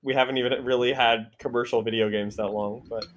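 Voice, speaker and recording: A man's voice: medium pitch, steady tone, fairly quick, slight background noise. A man chatting casually, with a slightly pedantic, corrective way of talking. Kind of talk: casual group chat.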